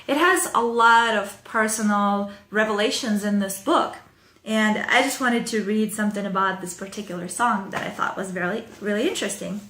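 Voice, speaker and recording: A woman's voice: medium pitch, normal speed, pushed and bright. Ukrainian-accented woman chatting to camera. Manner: chatty, loose, with frequent fillers and small laughs. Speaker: songwriting video host. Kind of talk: casual talk to camera with reading aloud. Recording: echoey room.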